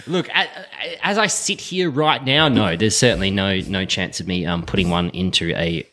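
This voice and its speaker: A man's voice: low-pitched, moderate pace, sharp tone, a little hiss or hum. A man in his late thirties chatting casually as a co-host, blunt and joking, swearing freely. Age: late 30s. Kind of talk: casual chat.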